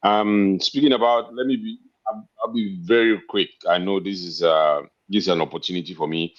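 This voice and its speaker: A man in his fifties with a Cameroonian accent, talking politely and haltingly as an interview guest. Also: deep voice, fairly even intonation. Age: 50s. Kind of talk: casual interview talk. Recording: dull, lo-fi internet call audio.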